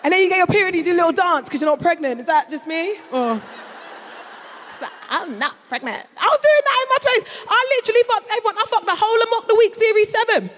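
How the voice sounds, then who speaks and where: A woman's voice: very high voice, expressive, fast talker, fairly bright tone. A woman in her thirties with a London accent doing stand-up, brash and boastful, full of crude slang. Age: 30s. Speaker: stand-up comedian. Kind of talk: stand-up routine. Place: large concert hall before an audience. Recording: live hall recording with long reverb.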